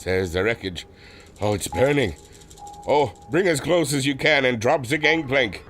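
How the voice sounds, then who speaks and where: A man's voice: mid-range voice, animated, a little slow, fairly bright tone, slight background noise. A man playing an earnest, remorseful German airship adventurer with a German accent, solemn and melodramatic. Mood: alarmed, urgent. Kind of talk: old-time radio adventure serial acting.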